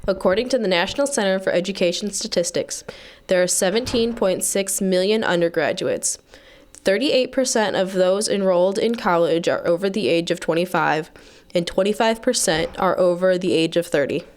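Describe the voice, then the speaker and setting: A woman giving scripted documentary narration, reading out statistics in an even manner. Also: lowish voice, moderate pace.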